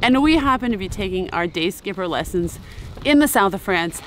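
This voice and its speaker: A woman's voice: mid-range voice, lively intonation, normal speed, a bit bright, slight background noise. A middle-aged woman vlogging on a sailboat, speaking upbeat and directly to her viewers about the day's sail. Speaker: vlogger, day skipper. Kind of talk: casual vlog chat. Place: on a sailboat in a marina. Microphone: camera at arm's length.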